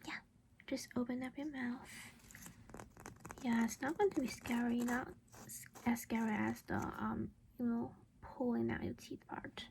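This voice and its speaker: A woman whispers very slowly in a breathy, crackly voice, close to the mic. She plays a caring vampire mom in an ASMR roleplay, gentle and reassuring throughout. Noticeable background noise sits behind her. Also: a little high, steady tone. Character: caring, protective vampire mother doing a dental checkup.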